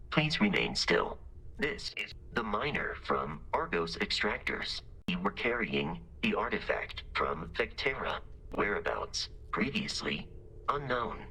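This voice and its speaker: A man's voice: sits low, pushed and bright, slight background noise, exaggerated voice, poor audio. A man voicing a polite, formal robot in a video game, speaking precisely and literally. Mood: clinical. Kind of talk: scripted video game dialogue.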